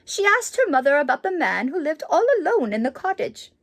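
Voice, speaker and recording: A woman in character as a children's storyteller, reading in a theatrical, gushing manner with exclamations and dramatic pauses. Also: high-pitched, very animated. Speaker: children's storybook reader in character as Mrs. Claus. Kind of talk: animated storytelling for young children.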